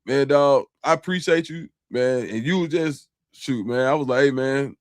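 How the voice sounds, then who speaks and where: Male co-host, relaxed, slangy and grateful, mid-pitched voice, dry close studio sound. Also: normal speed, dry recording. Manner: relaxed and grateful, slangy, calls people dog and bro. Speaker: show host reading super chats. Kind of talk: casual live-stream chat.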